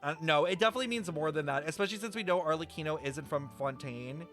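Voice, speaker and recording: A high-voiced man streams a live game reaction, gushing and dramatic, swearing freely and repeating his exclamations. Game music plays faintly behind him. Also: fast, a bit bright.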